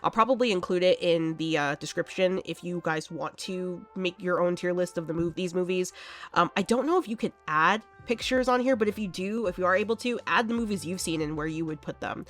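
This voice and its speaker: A woman's voice: lowish voice, a little fast, a bit bright, soft background music. Chatty, congested young woman. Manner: chatty, laughs often, many fillers. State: congested from allergies. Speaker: horror movie YouTuber. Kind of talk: casual vlog chat.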